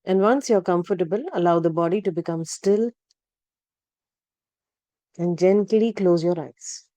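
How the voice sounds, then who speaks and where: Woman guiding meditation, low mellow voice. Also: dry recording. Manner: calm, unhurried, gently instructing with long pauses. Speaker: yoga nidra guide. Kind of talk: guided meditation narration.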